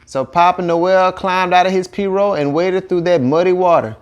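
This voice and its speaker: A man's voice: sits high, normal speed, smooth, highs cut off. A man with a Cajun accent narrating a children's story in a folksy, colloquial way. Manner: colloquial, animated dialect delivery. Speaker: audiobook narrator.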